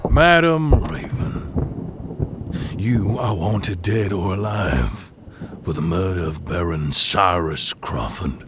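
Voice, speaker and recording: An actor playing a weary, menacing bounty hunter in a western audio drama, speaking formally and deliberately. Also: male voice, low-pitched, lively intonation, noisy background. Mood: cold, weary.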